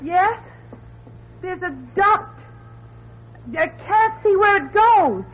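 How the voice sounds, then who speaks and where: A woman's voice: very high-pitched, expressive, slow, exaggerated voice. An actress playing an anxious but plucky woman in a radio drama, speaking in a hesitant, effortful way. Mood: straining, uncertain. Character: anxious but plucky woman scouting a dark escape tunnel. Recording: dull, muffled radio recording.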